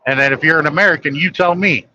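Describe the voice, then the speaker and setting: A man's voice: on the high side, quick pace, pushed and bright, muffled recording, a little hiss or hum. A man chatting casually late at night on a live stream, blunt and griping, swearing freely.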